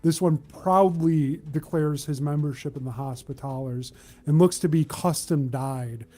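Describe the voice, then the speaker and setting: A man working as game master, narrating a tabletop game in a measured, descriptive way with halts mid-phrase. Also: a little high, dark tone.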